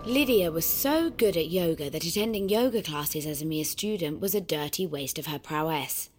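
An actress voicing a coolly smug, controlling disembodied guide, precise and arch, narrating and coaching in an audio drama. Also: female voice, low-pitched, lively intonation, normal speed, darkish tone, soft background music. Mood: grandly narrating. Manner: precise, arch, grandiose, instructing.

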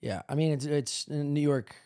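A man's voice: fairly high pitch, fairly even intonation, moderate pace, dark tone. Blunt crude-joking man in his forties. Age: 40s. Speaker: podcast co-host. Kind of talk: casual podcast banter.

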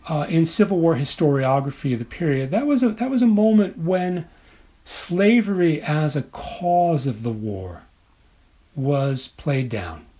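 A man speaking as an academic guest in an interview, thinking aloud haltingly and often repeating words for emphasis. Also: on the high side, lively intonation, warm tone. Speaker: interview guest, author and literature professor. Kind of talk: scholarly interview conversation.

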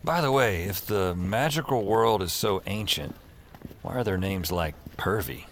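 A man's voice: fairly low pitch, animated, unhurried, slight background noise. An actor playing a wry, awkward man who takes calls, in slangy audio drama narration and dialogue. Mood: unsure, eager. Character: wry, awkward male call-taker new to a magical world.

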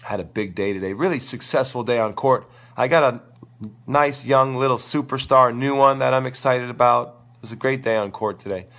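A man's voice: medium pitch, normal speed, fairly bright tone. A man with a crackly voice worn by a sore throat, talking casually and emphatically as a tennis coach answering viewers on a live stream. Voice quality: crackly, gravelly. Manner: emphatic, opinionated, informal. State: losing his voice, sore throat. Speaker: tennis coach hosting a live online show.

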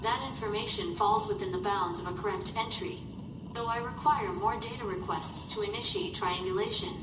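A woman's voice: medium pitch, normal speed, music in the background, natural voice. A woman playing a polite, literal shipboard artificial intelligence in a science fiction audio drama, speaking formally and without emotion. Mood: methodical. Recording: roomy echo, distant, heavy noisy ambience.

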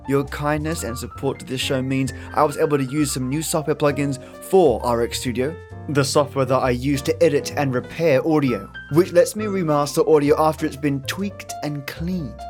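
A man's voice: a little high, fairly even intonation, moderate pace. A man with an Australian accent hosting a podcast, chatty and scripted, with an effusive, chipper manner. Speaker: podcast host. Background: guitar music.

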